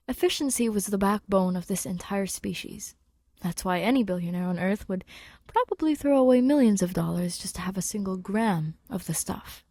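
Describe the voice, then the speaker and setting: Woman reading a story out loud, lots of vocal fry, chatty n wry, no room echo. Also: mid-range voice, studio quality. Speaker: podfic reader. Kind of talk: scripted fiction narration.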